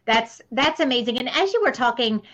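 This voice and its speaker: A woman's voice: fairly high pitch, expressive, a little fast, fairly bright tone. A woman hosting a casual interview in an earnest, appreciative way, repeating words for emphasis. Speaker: interviewer, podcast host. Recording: slightly dull, lo-fi audio.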